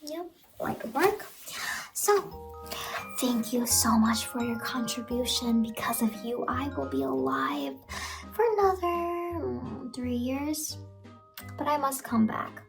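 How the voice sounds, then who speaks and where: A woman voicing a sinister, playful immortal clown in a horror ASMR roleplay, murmuring softly and coaxingly to the listener and often slipping into whispers. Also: sits high, big pitch swings, takes it slowly, creaky, music in the background, heavily acted. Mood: quiet menace.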